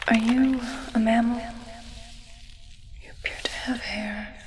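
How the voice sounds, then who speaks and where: A woman playing a curious, clinical alien technician in an ASMR roleplay, whispering breathily and questioning in a hushed, muttering way. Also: a little high, fairly even intonation, takes it slowly, slight background noise.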